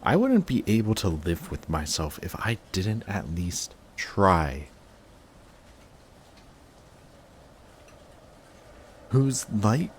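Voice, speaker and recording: A man playing an emotional villain confessing to his longtime enemy in a dramatic audio roleplay, intense, halting and defensive. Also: low voice, a little mellow, slight background noise, exaggerated voice. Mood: defensive, anguished.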